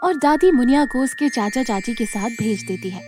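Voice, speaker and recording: Woman reading a kids moral story, highish voice, bit of music behind. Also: expressive, moderate pace. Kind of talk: scripted children's moral story narration.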